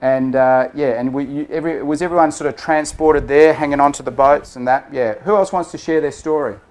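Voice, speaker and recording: A man's voice: on the high side, brisk, a little mellow. A man running a storytelling workshop for a group, speaking casually and often checking in with "okay?" and "right?". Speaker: workshop facilitator. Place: room with a group of people.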